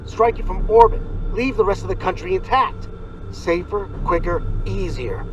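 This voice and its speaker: A man's voice: high-pitched, animated, moderate pace, noisy background. A man playing an impassioned, defiant young soldier in a post-apocalyptic video game, arguing emphatically. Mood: low, bitter. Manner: emphatic, argumentative, pleading, swearing. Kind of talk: video game cutscene acting.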